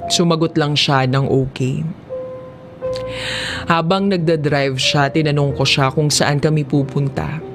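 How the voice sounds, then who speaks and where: Filipino narrator for confessional drama audiobook: unhurried delivery, mid-range voice, clear musical bed underneath. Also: mid-range voice, fairly even intonation. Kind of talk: scripted first-person drama audiobook narration. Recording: music behind the voice. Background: background music.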